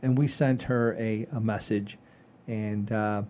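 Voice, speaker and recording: A man hosting a comedy show in casual chat, halting and repeating phrases for emphasis. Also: fairly low pitch, fairly even intonation, dark tone.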